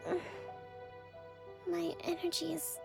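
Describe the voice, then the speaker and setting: Game casting: weary, polite young woman leader, unhurried, hesitant, soft with a fry edge, music underneath. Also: very high-pitched, completely flat delivery, heavily acted. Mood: weary, apologetic. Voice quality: soft, breathy sighs. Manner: hesitant, apologetic. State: drained. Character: gentle, weary young female leader, polite and self-doubting. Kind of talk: video game voice acting.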